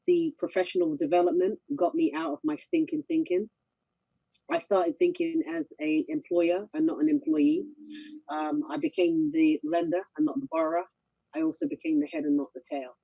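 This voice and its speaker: Woman caller, husky voice, upbeat testimony, muffled phone line, dry. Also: low voice, little pitch movement, moderate pace, dry recording. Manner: upbeat, strings of motivational sayings. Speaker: club member giving testimony. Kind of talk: testimonial on a conference call.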